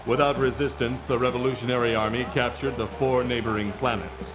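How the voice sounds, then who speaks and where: A man delivers scripted, dramatic narration for a video game. He is formal and measured, with an average pitch. Music plays clearly behind him. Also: fairly even intonation, poor audio.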